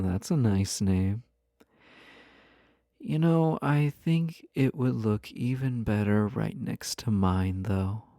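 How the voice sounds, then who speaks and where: A man playing a slow-talking, flirty yandere husband. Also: medium pitch, warm tone, no room sound, clean studio sound. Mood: coy flirting. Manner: teasing, coy, smooth-talking with mock innocence. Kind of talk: intimate roleplay audio addressed to the listener.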